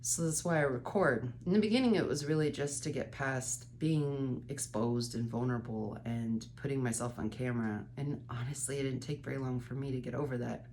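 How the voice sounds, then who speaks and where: Stuffed-up woman with a very low voice. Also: a little fast, few pauses, a little mellow, a little hiss or hum. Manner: candid, rambling, occasional swearing. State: stuffy, congested nose. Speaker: vlogger. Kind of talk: casual late-night reflective vlog.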